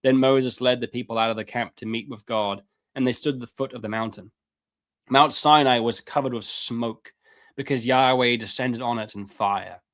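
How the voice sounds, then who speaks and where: A man's voice: steady tone, normal speed, fairly bright tone. Man reading scripture on a live stream. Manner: reads scripture aloud then comments, rises in pitch when fervent; casual asides, repeats words, clears throat. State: voice going hoarse. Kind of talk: live-stream Bible teaching, reading passages aloud.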